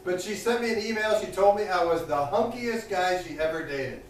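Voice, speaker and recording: Man, stand-up comic, deadpan self-mocking jokes, high voice, echoey room, mic far off. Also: moderate pace. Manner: deadpan one-liners with self-mocking asides. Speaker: stand-up comedian. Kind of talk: open-mic stand-up set. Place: small cafe stage. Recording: echoey room recorded from a distance. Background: audience laughter.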